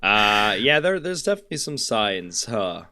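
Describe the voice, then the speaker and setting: Young guy who lost his voice, low and hoarse, deadpan snark, very dry close sound. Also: normal speed, no room sound. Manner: dry, sarcastic jokes. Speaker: podcast co-host. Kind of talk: casual chat.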